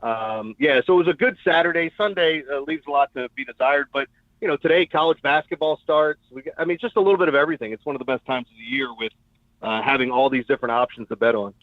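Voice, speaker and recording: A man's voice: mid-range voice, fast, no room sound. A man chatting casually and bluntly as a sports betting guest, heard over a tinny phone-style connection. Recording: tinny phone-like call audio.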